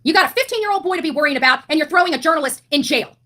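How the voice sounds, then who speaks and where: A woman with a somewhat high voice gives impassioned online commentary. She is blunt and indignant, driving her points home with rhetorical questions and repeated phrases. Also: animated, very fast, pushed and bright. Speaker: online commentator on a missing-child case.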